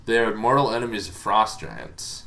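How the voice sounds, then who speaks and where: A man's voice: lowish voice, sing-song, normal speed, gravelly, sharp tone. A man hosting a casual, informal explainer on tabletop game monsters, talking in a rambling, hesitant way as if thinking aloud.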